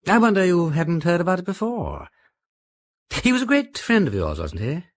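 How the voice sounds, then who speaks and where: An actor playing a jovial, chatty, deceptively silly aristocratic detective with an upper-class English accent in a radio drama. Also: male voice, sits high, sing-song, moderate pace. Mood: probing. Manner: breezy, rambling, repeats phrases for emphasis.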